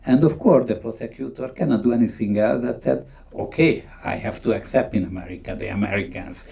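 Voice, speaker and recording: Man, dark low-key tone, lowish pitch, halting emphatic delivery, conference talk. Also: creaky, recorded from a distance. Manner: halting, emphatic, non-native English phrasing. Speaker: conference speaker on film history. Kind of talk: unscripted talk retelling film scenes.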